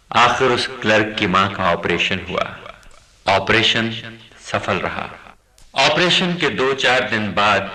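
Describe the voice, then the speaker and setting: A man playing a grave storyteller in a radio drama, recounting a tale deliberately, with pauses. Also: fairly low pitch, sharp tone, exaggerated voice, rough recording. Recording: music behind the voice.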